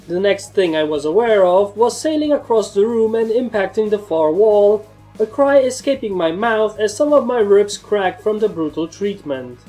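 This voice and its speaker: A woman narrates a first-person story in a soft, mellow tone at medium pitch. Faint music plays behind her scripted reading.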